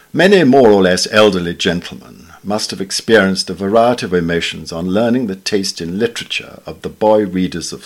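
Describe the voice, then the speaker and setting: Deep-voiced British man reading essays. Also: breathy edge. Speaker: reader of newspaper essays. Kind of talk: scripted literary essay reading.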